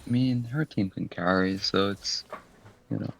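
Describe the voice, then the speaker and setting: A hoarse man with a sore throat co-commentating an esports match in a laid-back, dryly joking way. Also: low voice, a little slow, slight background noise, rough recording. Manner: laid-back, dry banter.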